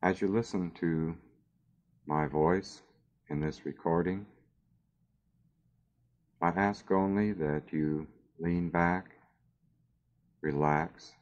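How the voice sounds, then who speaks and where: A man with a very deep voice leads a guided meditation. He speaks very slowly and soothingly, leaving long pauses between short phrases. The recording is dull and somewhat lo-fi.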